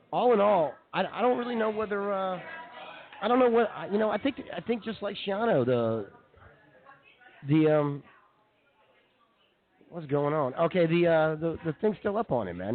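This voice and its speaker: A fairly high-voiced man talks sports casually and slangily as a radio co-host. His pitch swings a lot, and the audio is thin and phone-like. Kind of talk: casual banter and sports opinion. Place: brewery, live remote broadcast. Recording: tinny narrowband live bar broadcast.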